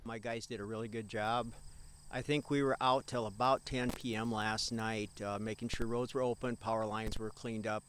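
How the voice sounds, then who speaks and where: A man's voice: lowish voice, little pitch movement, moderate pace, a bit bright, slight background noise. An American man, a fire chief, answering unscripted in a news interview.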